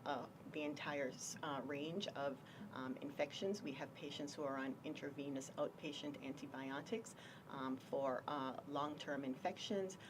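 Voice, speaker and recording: A woman from Jamaica, a doctor explaining her work in a radio health interview, measured and full of pauses. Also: low voice, recorded from a distance, noisy background. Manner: measured, explanatory, frequent ums. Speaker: infectious diseases doctor, guest.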